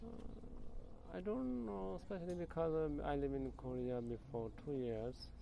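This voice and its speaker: A man with an Iranian accent, giving halting informal answers in broken English. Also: a little high. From Iran. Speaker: interviewee. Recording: clear outdoor-sounding ambient noise.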